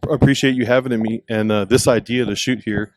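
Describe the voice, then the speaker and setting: A man hosting a podcast talks in a friendly, chatty way and tells stories at a middling pitch. The recording is dry and close, made outdoors at a skate park with a headset on. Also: fairly even intonation, fairly quick, no echo, poor audio. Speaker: podcast host. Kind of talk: casual chat. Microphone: mic with headset monitoring.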